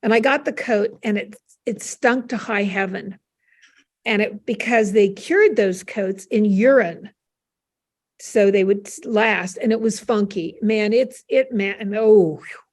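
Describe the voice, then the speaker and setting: An older American woman, a panel guest, telling casual stories in an animated way and acting out remembered dialogue. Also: medium pitch, unhurried. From United States. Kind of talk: casual anecdotal storytelling.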